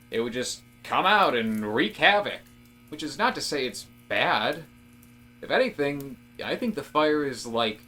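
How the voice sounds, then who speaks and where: A man acting an eager young-at-heart office guy in a comedic audio drama. His voice is bright and he rambles casually, full of earnest conviction about his odd theories. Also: mid-range voice, a little hiss or hum. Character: Rob, eager, casual male office worker with intense theories.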